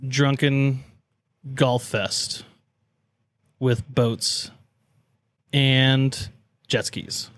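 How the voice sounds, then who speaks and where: A man's voice: mid-range voice, little pitch movement, extremely slow, long pauses, fairly bright tone, dry recording. An American man co-hosting a podcast in casual chat, laid-back and slangy with some swearing. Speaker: podcast co-host.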